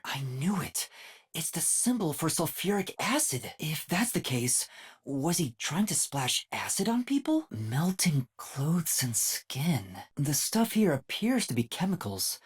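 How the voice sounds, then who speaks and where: A man's voice: a little high, breathy edge, heavily acted. A man voicing an earnest, timid young male detective in a video game, with a light boyish voice and a hesitant, thoughtful way of thinking aloud. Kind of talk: video game voice acting, investigative monologue.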